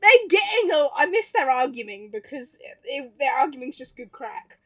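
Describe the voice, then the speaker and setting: High-pitched woman chatting over a game. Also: expressive, moderate pace. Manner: playful, reactive, teasing. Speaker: game livestreamer. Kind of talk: casual chatty gameplay commentary.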